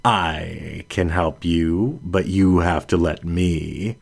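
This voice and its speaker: A man reads the part of a grown lioness witch from a storybook, calm and kind. The voice is low and very unhurried, with gentle, solemn earnestness in the phrasing. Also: vocal fry, breathy edge, full character voice. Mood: earnest, solemn. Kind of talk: storybook character reading.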